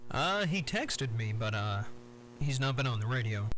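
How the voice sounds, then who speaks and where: A man's voice: medium pitch, sing-song, moderate pace. A man acting as net controller on a CB radio, switching between check-in calls and casual chat. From Arkansas, United States. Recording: noisy CB radio, loud hum.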